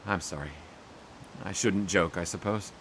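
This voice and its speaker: An actor playing an earnest young sportswriter in an audio drama, speaking in a halting, hushed, apologetic way. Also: male voice, sits low, a little hiss or hum. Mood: regretful.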